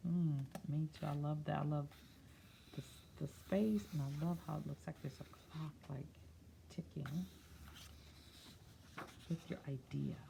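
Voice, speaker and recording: A woman reading a picture book aloud expressively, slipping into quieter, musing, conversational asides. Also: low-pitched, unhurried, creaky, pauses often, background noise. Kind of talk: expressive read-aloud with soft, chatty asides.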